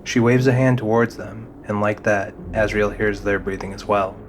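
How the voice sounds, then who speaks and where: A man's voice: lowish voice, fairly even intonation, moderate pace, slight background noise. A man narrating a scripted fantasy audio drama in the role of storyteller. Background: music under the narration.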